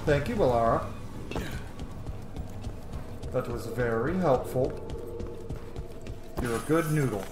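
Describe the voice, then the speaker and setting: A man's voice: medium pitch, expressive, slow, pauses often. A man giving casual, rambling, playfully dry commentary as he streams a video game. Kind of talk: casual let's play commentary. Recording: roomy echo, loud background game noise.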